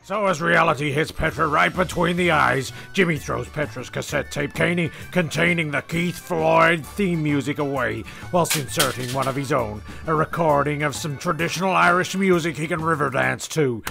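A man's voice: a little high, normal speed, gravelly, hardly any pauses, bright, forceful tone, faint music behind, exaggerated voice. A man playing a wry, exasperated comedy narrator, sweary and stumbling through his script. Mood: wry storytelling. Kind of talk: comic scripted narration with ad-libs.

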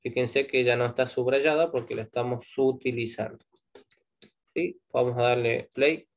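A man's voice: mid-range voice, steady tone, moderate pace. A man with an Argentine Spanish accent teaching a live online programming class, explaining step by step and checking in with "¿sí?" after each point.

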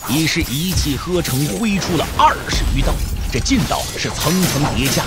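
A man narrating a martial arts novel as a scripted audio drama, with an emphatic, suspenseful storytelling delivery. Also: high-pitched. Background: background music.

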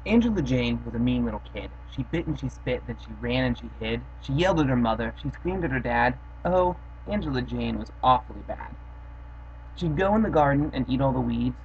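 Young adult male storytime host, casual chatter then a steady rhythmic read; gravelly voice, bedroom webcam with faint hiss. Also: medium pitch, fairly quick, dull audio. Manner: casual, offhand chat with fillers, then steady rhythmic reading. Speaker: host reading children's poems. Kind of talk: reading a rhyming poem aloud. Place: bedroom, indoors. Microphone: built-in webcam mic.

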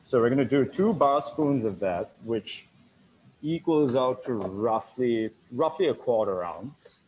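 A man's voice: mid-range voice, expressive, measured pace, a little mellow, slight background noise, low-quality recording. A man in his thirties working as a bartender, casually explaining a cocktail recipe as he mixes it, often repeating words. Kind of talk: cocktail demonstration. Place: dim bar set, indoors.

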